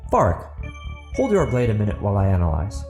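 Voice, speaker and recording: A man voicing a shrewd, deliberate grand chieftain with a measured, formal, probing manner in a fantasy audiobook. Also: on the low side, wildly swooping pitch, creaky, music in the background, heavily acted.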